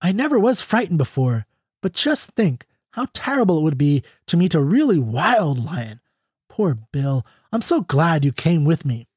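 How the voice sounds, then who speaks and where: Reader putting on a young ranch woman, girlish, pleading, husky, dry close sound. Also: mid-range voice, very animated, normal speed, a little mellow, no echo, voice pushed up. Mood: sweet.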